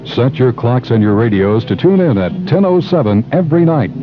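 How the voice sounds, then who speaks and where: A man's voice: medium pitch, sing-song, a little fast, background noise. A man reading a scripted station promo on a muffled 1970s radio broadcast. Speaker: station announcer.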